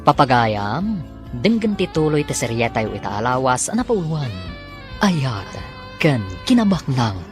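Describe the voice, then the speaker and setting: A woman's voice: very deep voice, sing-song, a little slow. A woman with an Ilocano accent reading scripted radio drama narration as the announcer. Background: music bed.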